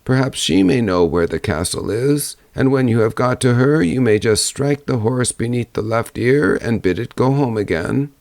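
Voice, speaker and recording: Man putting on an aged crone, pitched high and singsong. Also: animated, normal speed, vocal fry, few pauses, darkish tone, dry recording. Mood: helpful, instructing. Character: aged mountain crone, helpful, fairy-tale old woman.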